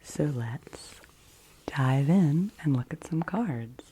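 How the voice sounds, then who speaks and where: A woman in her forties gently presenting in a hushed, partly whispered ASMR style, unhurried and close to the microphone. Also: deep voice, expressive, a little hiss or hum, poor audio. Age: 40s. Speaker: ASMR host. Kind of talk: soft ASMR card reading, partly whispered.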